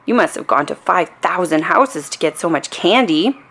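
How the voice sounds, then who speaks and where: A woman's voice: fairly low pitch, expressive, moderate pace, vocal fry, fairly bright tone, full character voice. A woman voicing a cheeky, confident little boy in a storybook, insistent and childlike. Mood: matter-of-fact, cheeky.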